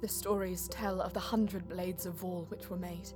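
A woman's voice: lowish voice, fairly even intonation, normal speed, close to an everyday voice. Proud elven woman narrator over music, acted. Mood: proud, wry. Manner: lofty, poetic, dramatic. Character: proud, quick-witted elven alien woman, artisan seer. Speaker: first-person narrator and lead character. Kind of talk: scripted audio drama narration and acting. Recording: music behind the voice.

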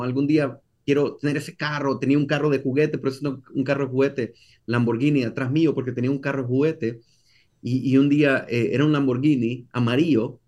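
A man's voice: mid-range voice, darkish tone. A man with a Salvadoran accent telling his story as a podcast guest, repeating phrases and adding tag questions. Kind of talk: conversational personal storytelling.

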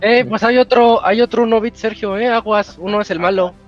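A man co-hosting a casual podcast, throwing in quick jokes and laughing asides. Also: sits high, steady tone, faint music behind. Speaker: podcast co-host. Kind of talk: casual group chat. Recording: dull lo-fi sound.

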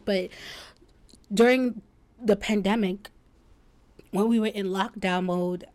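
A woman's voice: medium pitch, a little mellow. A twenty-year-old woman hosting a solo podcast, talking casually and reflectively in an informal, rambling way. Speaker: podcast host.

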